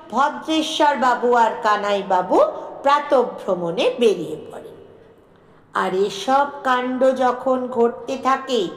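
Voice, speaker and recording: Female storyteller, high pitch, expressive bedtime narration with noticeable room echo. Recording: roomy, echoing voice recording.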